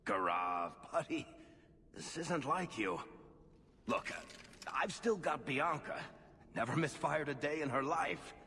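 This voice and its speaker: An actor playing a roguish, wry dwarf storyteller in a video game, with a dry, sardonic way of joking. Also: male voice, on the high side, lively intonation, slow pace, long pauses, pushed and bright, breathy edge, big-room sound, heavily acted. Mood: exasperated, cajoling.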